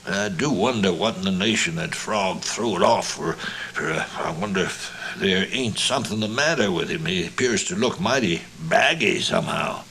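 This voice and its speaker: A man's voice: sits low, expressive, normal speed, vocal fry, pushed and bright, slight background noise, full character voice, low-quality recording. A man voicing an easygoing, boastful frog gambler from an Old West tale, with a folksy American accent. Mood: puzzled. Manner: easy, careless, folksy. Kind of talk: Old West storybook reading.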